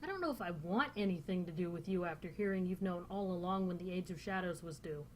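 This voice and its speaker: A Northern Californian woman reading aloud the part of a suspicious, sharp-tongued young woman from a novel. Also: lowish voice, fast, slight background noise, close to an everyday voice. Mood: accusing.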